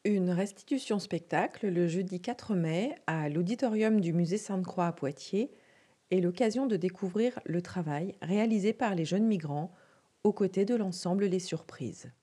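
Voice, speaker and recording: A woman narrating a podcast introduction in measured, scripted French. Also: fairly low pitch, breathy edge. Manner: even, measured reading.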